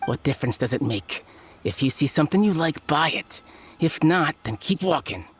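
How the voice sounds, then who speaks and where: A blunt street dealer whose pitch jumps lively up and down. She is curt at first in manner but easily rattled. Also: moderate pace. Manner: blunt, then jittery and pleading. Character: nervous street dealer of illicit goods, blunt.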